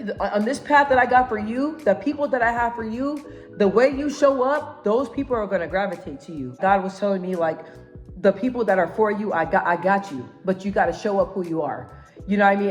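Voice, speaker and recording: A woman giving a casual motivational talk to camera, assertive and emphatic, repeating key phrases and often checking for agreement. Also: medium pitch. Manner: emphatic, repeats phrases, constant tag questions seeking agreement; assertive, self-assured. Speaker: vlogger giving self-help advice.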